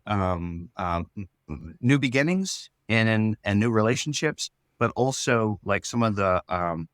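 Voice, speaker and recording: A man's voice: lowish voice, dry recording, clean studio sound. A man speaking as an interview guest, explaining things thoughtfully and searching for his words in a conversational way. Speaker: series writer, interview guest.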